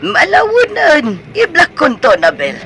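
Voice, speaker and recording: A shrill, fussy old Ilocano mother. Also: huge pitch swings, measured pace, pushed and bright, slight background noise, full character voice. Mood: fussy, puzzled. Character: fussy, scolding older mother, doting but nosy. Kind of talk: Ilocano radio comedy drama acting.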